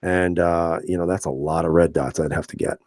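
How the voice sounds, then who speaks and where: Man, deep voice, casual live-stream host, relaxed chatty delivery, dry close-miked sound. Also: a little fast, no echo. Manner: relaxed, chatty, laughs often, many fillers and restarts. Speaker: channel host reading live chat.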